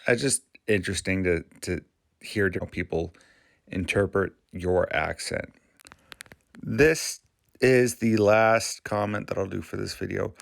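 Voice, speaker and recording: A man from Maine hosting his channel, talking casually in a halting, rambling way with frequent restarts. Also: deep voice, gravelly, no room sound. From Maine, USA. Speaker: YouTube channel host. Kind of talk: casual vlog commentary.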